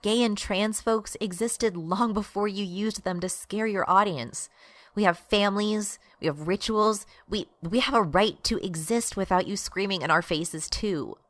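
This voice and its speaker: A woman's voice: medium pitch, measured pace, no room sound, studio quality, close to an everyday voice. A woman acting as an exasperated, righteous progressive activist in a satirical radio drama.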